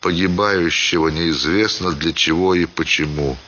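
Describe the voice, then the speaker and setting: A man reading a literary story as a dramatic narrator, measured, pausing phrase by phrase. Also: deep voice, bright, forceful tone, slightly rough, highs cut off. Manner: measured, with deliberate phrase-by-phrase pauses.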